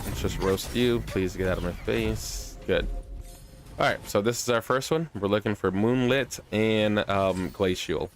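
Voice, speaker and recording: A man's voice: on the low side, measured pace. A man streaming a video game with casual, chatty commentary, playful slang and a self-deprecating streak. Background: music.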